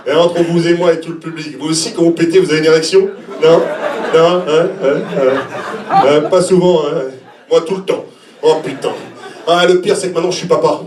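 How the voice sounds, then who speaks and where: A man's voice: on the high side, moderate pace, background noise. A man in his forties performing stand-up comedy on stage, exclaiming, acting out little scenes and talking straight to the audience. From Switzerland. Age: 40s. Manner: animated, exclamatory, acts out little scenes and reactions; talks directly to the audience. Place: small comedy venue open stage, indoors. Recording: echoey live room, distant mic.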